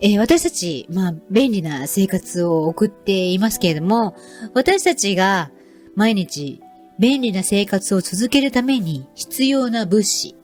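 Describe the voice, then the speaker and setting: A woman's voice: mid-range voice, lively intonation, moderate pace, darkish tone, faint music behind. A woman hosting a nature program, speaking politely and explaining as she introduces segments. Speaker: host. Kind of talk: radio-style narration.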